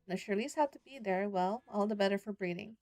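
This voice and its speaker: A woman reads as an earnest young country boy telling his own story in a visual novel. The delivery is plain-spoken, downbeat and wry, close and dry over soft music. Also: mid-range voice, quick pace, no echo, lightly acted. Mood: wry, wary. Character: earnest, glum youngest brother, rural visual novel hero. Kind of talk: first-person visual novel narration and dialogue.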